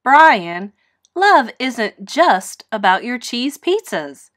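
Woman playing a loving, expressive mother pig. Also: on the high side, very slow, exaggerated voice. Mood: explaining. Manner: expressive, nurturing. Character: loving, patient mother pig, gently teasing.

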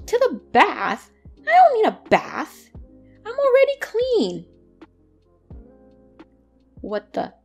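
A woman's voice: very high-pitched, huge pitch swings, slow pace, vocal fry, long pauses, faint music behind, full character voice. A woman playing a bratty, easily flustered young tsundere catgirl in an ASMR roleplay, speaking indignantly and defensively to the listener. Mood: indignant, bossy.

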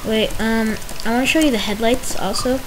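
A woman's voice: a little high, steady tone, moderate pace. A young woman giving a rambling, self-interrupting commentary while touring a game world she built. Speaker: Minecraft video host. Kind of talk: casual gameplay tour commentary. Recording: hissy home recording.

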